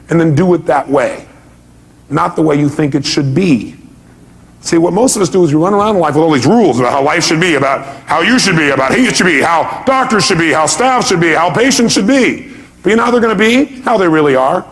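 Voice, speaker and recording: A man with a somewhat high-pitched voice speaks as a motivational seminar presenter. He addresses his audience directly and emphatically, driving each point with rhetorical questions. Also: gravelly.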